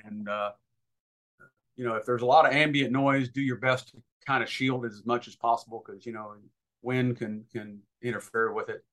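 A man's voice: medium pitch, fairly even intonation, moderate pace, fairly bright tone. A man giving informal instruction as a guest expert, talking in an emphatic, advisory way.

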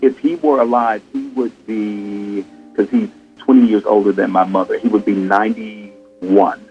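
A man in his fifties, a guest on an interview show, speaking in a measured, soft-spoken way over a video call. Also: on the low side, phone-quality sound, soft background music. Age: 50s. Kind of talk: casual interview conversation.

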